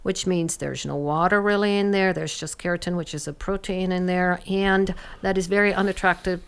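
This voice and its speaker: A woman, a guest researcher calmly explaining health topics on a radio talk show. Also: on the low side, moderate pace, clean tone. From Germany. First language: German. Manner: calm, explains science plainly. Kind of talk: explanatory conversation.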